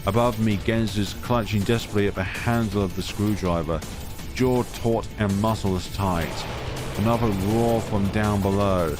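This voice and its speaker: A man reading a visual novel aloud in a theatrical, expressive way, mixing dramatic narration with casual commentary. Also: fairly low pitch, moderate pace. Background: game music behind the voice.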